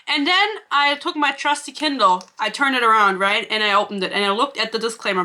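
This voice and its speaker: Young woman, German accent, fairly high voice, crisp forceful tone, sarcastic animated rant. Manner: sarcastic, animated, swearing freely. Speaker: book review YouTuber. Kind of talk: casual ranting vlog. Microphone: camera.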